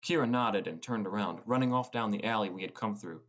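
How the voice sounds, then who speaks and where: A man's voice: lowish voice, brisk, a bit bright, lo-fi sound. A man narrating a scripted first-person fantasy audiobook as a teenage boy gamer.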